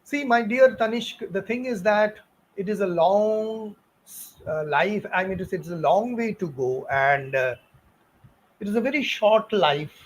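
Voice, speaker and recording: A man's voice: high voice, measured pace, poor audio. Indian accent, man, middle-aged, rambling, fillers and laughs, encouraging teacher. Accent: Indian English. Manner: chatty, digressive, laughs often, many fillers; encouraging, fatherly advice to students. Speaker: physics teacher on a live stream. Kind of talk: casual live-stream Q&A.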